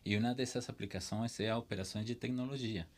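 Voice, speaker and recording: A man, a guest on a tech panel, explaining calmly with small hesitations. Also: medium pitch, quick pace. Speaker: guest expert. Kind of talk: casual panel discussion.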